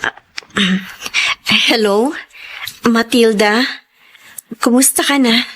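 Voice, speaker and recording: A woman's voice: on the high side, extremely slow, fairly bright tone, exaggerated voice, rough recording. A woman with a Filipino accent playing a polite, humble young mother in a radio drama. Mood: apologetic, sincere. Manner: polite, deferential. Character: humble, grateful, apologetic young single mother. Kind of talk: Tagalog radio drama acting.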